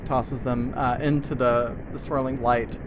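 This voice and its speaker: A man with a medium-pitched voice runs a tabletop game. He describes scenes off the cuff, casually and haltingly, with plenty of noise in the background. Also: flat delivery. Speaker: game master. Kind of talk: improvised tabletop game narration.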